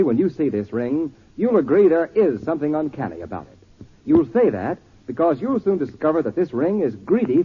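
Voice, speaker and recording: A man with a husky voice delivering an emphatic, theatrical 1940s radio sales pitch as announcer. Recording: muffled 1940s radio recording.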